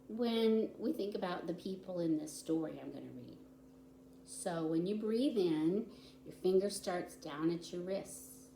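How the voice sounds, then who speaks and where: A woman's voice: lowish voice, gravelly, mellow. A woman volunteer reading to young children, explaining things simply, with chatty asides and questions to the listeners. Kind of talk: children's story time, read-aloud with chatty asides. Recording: roomy echo with audible background noise.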